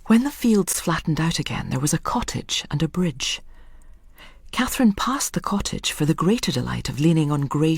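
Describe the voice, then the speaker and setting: Low, husky woman narrating slowly. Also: no echo, studio quality. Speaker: story narrator. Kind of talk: scripted dramatic literary narration.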